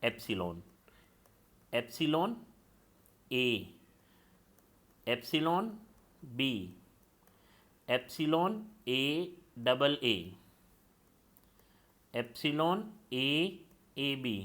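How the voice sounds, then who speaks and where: A man's voice: medium pitch, expressive, very slow, long pauses, fairly bright tone. A male lecturer teaching a class step by step, asking questions and answering them himself. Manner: calls students "children" and checks they follow; steps through examples, asking and answering his own questions.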